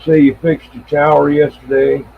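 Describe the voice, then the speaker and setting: An American man in his seventies chatting casually on CB radio in a halting, rambling, reminiscing way. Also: fairly high pitch, flat delivery, vocal fry. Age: 70s. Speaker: CB radio operator and live streamer. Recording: tinny band-limited radio audio with faint noise.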